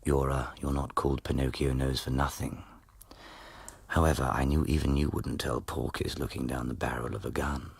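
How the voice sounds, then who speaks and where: A smug radical academic for radio drama. His voice is extremely low and creaky, recorded dry and close. He talks in a sly, wry, measured way, like a born schemer. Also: level tone, no room sound, heavily acted. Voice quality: very deep, gravelly, husky. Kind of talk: radio play acting.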